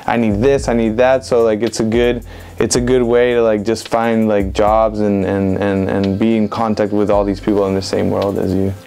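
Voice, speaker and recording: A young man in his twenties from Venezuela, giving relaxed, rambling interview answers full of "like" and "um". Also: lowish voice, moderate pace, clear, resonant tone. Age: 20s. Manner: relaxed, rambling with many fillers like "like" and "um". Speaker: interviewed street photographer. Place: indoor room with bookshelves.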